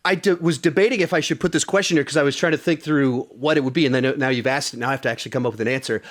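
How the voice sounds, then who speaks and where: A man's voice: mid-range voice, rapid-fire. A man hosting a podcast, chatting casually about films. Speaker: podcast host. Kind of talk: casual film discussion.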